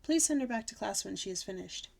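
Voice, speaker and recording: A woman voicing a calm, kindly, patient schoolteacher in a children's audiobook. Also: medium pitch, quick pace, creaky. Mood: matter-of-fact. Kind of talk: children's audiobook character reading.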